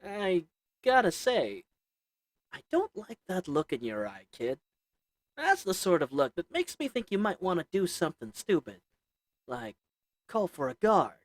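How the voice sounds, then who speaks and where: A man's voice: a little high, no echo. A man voicing a sly little old goblin merchant who sells shady goods, talking in a wheedling folksy drawl. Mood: menacing.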